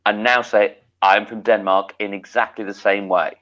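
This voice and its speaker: A man co-hosting a casual comedic chat, wry and dry with drawn-out emphasis. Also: fairly low pitch, level tone, a bit bright. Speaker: podcast co-host. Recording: slightly dull lo-fi audio.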